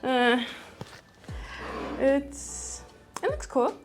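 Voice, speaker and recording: A woman's voice: high voice, very animated, extremely slow, faint music behind. A young woman in her twenties from Los Angeles, chatting casually and bubbly to viewers as a contestant. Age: 20s. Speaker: contestant, esthetician. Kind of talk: casual chat to camera.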